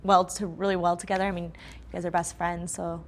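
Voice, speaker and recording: A woman's voice: fairly low pitch, little pitch movement, a little fast. A woman asking questions in a casual interview.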